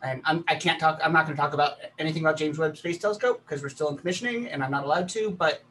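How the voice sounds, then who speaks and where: Halting man from Texas, talking on a call. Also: on the high side, sharp tone. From Texas, USA. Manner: halting, many ums and restarts. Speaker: guest presenter, planetary scientist. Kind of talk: casual chat then slide presentation. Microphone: video call. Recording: distant mic, faint background noise.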